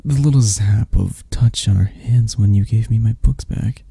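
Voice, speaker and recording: A man voicing an obsessed, flirty, possessive male kidnapper in a hushed, halting ASMR roleplay. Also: fairly low pitch, no room sound, heavily acted. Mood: possessive, menacing. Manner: hushed, halting, breathy-soft delivery.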